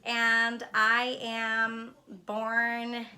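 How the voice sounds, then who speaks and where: A woman's voice: on the high side, completely flat delivery, very slow, pushed and bright. A woman talks casually and earnestly to her viewers, reflective and often checking in with a "right?". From born in Honduras, living in Los Angeles. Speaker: spiritual vlogger and card reader. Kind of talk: casual heartfelt talk to viewers.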